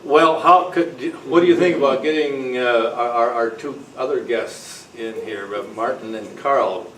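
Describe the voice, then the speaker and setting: Male meeting chair, mid-pitched, casual and halting, speaking to a room with some background noise. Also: fairly bright tone. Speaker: club meeting host. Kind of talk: informal meeting announcements. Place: meeting room, before a group. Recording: noisy live meeting room recording.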